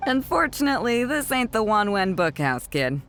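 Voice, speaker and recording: A woman's voice: medium pitch, sing-song, normal speed, a bit bright, soft background music. An actress playing a confident, easygoing woman fleet captain in a video game, with an assured, commanding, colloquial manner. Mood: brisk, explanatory.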